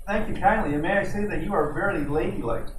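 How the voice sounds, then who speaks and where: A man's voice: high-pitched, quick pace, close to an everyday voice. Man acting a cocky, flirty detective, noisy echoey recording. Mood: smooth, flattering. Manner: smooth-talking, wisecracking, slangy. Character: flirty, cocky detective posing as an innocent train passenger. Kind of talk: old-time radio detective drama acting. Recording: roomy, noisy, distant amateur mic.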